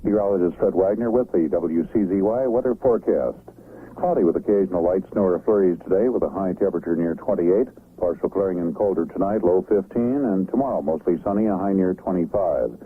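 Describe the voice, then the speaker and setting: American weatherman on old muddy radio, low voice, kinda raspy. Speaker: radio meteorologist. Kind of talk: scripted weather forecast. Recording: muffled, narrowband 1980s radio aircheck.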